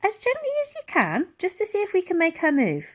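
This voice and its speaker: A woman's voice: very high-pitched, wildly swooping pitch, a little fast, pitched above the performer's own voice, exaggerated voice. A woman voicing a brave, bossy young girl in a children's adventure story, urgent and commanding. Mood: encouraging.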